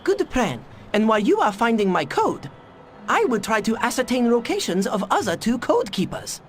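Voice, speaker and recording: An actress playing a proud, clever Japanese scientist in a video game, with a Japanese accent and stilted, formal phrasing. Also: female voice, a little high, big pitch swings, moderate pace, a little hiss or hum. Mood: explaining. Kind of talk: video game cutscene voice acting.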